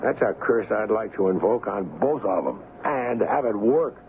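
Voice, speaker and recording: A man's voice: on the low side, expressive, normal speed, creaky. A man acting an earnest, loyal ship's doctor in a 1970s radio drama, speaking firmly and urgently. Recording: muffled, noisy 1970s AM radio broadcast.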